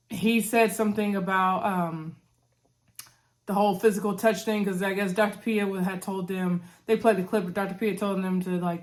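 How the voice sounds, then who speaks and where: A woman talks casually to her viewers, recapping a reality show. She is animated and chatty, and her voice sounds congested from a recent cold. Her pitch sits in the middle range. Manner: chatty, animated, says "y'all" and "anywho". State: congested, coughing.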